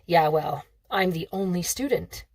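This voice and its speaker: A woman's voice: fairly low pitch, measured pace, darkish tone, dry recording, studio quality. A woman reading the part of a smug, teasing sixteen-year-old rich boy in a storybook, breezy and self-assured.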